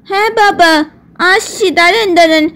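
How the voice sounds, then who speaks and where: A woman's voice: very high-pitched, moderate pace, sharp tone, heavily acted. A woman voicing a meek, put-upon young daughter-in-law doll in a cartoon puppet story. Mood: obedient, placating. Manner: meek, placating, complaining when alone.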